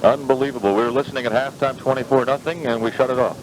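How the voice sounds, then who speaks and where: Over a noisy, rumbling 1980s radio link, an American man files a live sports report. He is a correspondent with a mid-range voice. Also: steady tone, fast talker.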